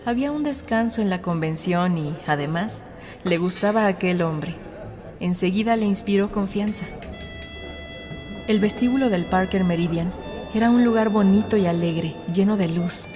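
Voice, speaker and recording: A woman reading a novel as an audiobook narrator, in a measured, suspenseful storytelling manner. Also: medium pitch, dark tone. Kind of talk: scripted dramatic narration of a novel. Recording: studio narration over a music bed. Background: background music.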